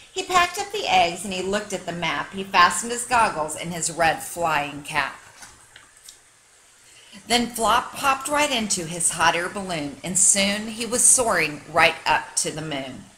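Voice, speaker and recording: A woman reading a children's picture book aloud like a teacher, with expressive, dramatic storytelling for young listeners. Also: medium pitch, a little hiss or hum, low-quality recording.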